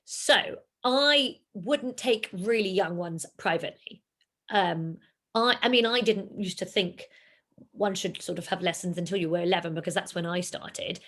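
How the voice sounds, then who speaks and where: A woman, a singing teacher, talking in a relaxed interview and acting out her pupils' lines. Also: fairly low pitch, moderate pace. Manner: animated, acts out pupils in quoted voices. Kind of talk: casual interview chat.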